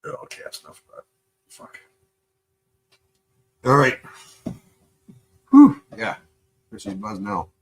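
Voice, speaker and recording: A man's voice: on the low side, wildly swooping pitch, slow, long pauses, slightly rough, low-quality recording. Profane, rambling male streamer from Canada. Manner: loose, profane, rambling with fillers. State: high. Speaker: live streamer reacting to videos. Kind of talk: casual rambling stream chat.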